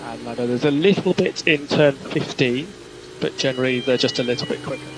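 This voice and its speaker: A male race engineer speaks over a team radio feed with music clearly behind him. He gives clipped, procedural instructions to a driver and repeats the key ones. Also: mid-range voice, a little fast, poor audio.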